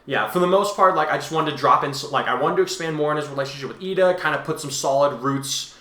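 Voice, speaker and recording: A man's voice: on the high side, quick pace, bright, forceful tone. A man hosting a casual discussion of his own writing, rambling and often correcting himself as he goes. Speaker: host, fan fiction author and reader. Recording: echoey room.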